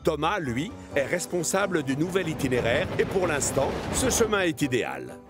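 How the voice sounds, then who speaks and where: High-pitched male storybook narrator over music. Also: big pitch swings, moderate pace. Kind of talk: children's cartoon storytelling narration.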